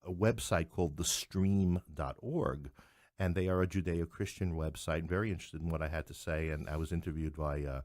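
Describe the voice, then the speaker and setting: Deep-voiced wry man telling stories. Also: brisk, darkish tone, no room sound, clean studio sound. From New York City. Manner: wry, joking asides. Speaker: playwright and podcast guest. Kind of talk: conversational interview storytelling.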